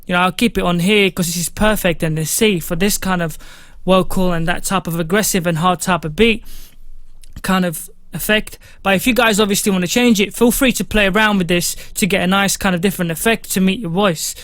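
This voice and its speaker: A young man walks through a music-production tutorial in a casual, chatty way, full of fillers and restarts. His voice sits high, and he talks close to a studio mic in a small home studio with a dry, dead sound. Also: brisk, fairly bright tone, no room sound. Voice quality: fairly high voice. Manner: casual, instructive, many fillers. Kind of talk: screen-recorded software tutorial.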